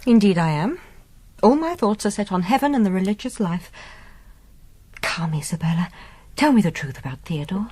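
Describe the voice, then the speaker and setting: A virtuous young noble daughter in a Gothic radio drama. Her delivery is earnest and heightened, with lively swings of pitch. The sound is close and dry. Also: moderate pace, long pauses, breathy edge, dry recording. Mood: coyly probing. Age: young adult.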